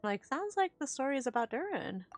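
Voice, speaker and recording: A woman's voice: sits high, sing-song, brisk, music in the background. A woman giving casual playthrough commentary as a game streamer.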